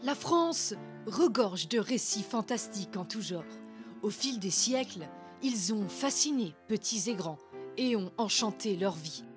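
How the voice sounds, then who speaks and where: A woman hosting a storytelling show, narrating scripted folk legends in a theatrical, literary manner. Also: mid-range voice. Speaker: host and storyteller.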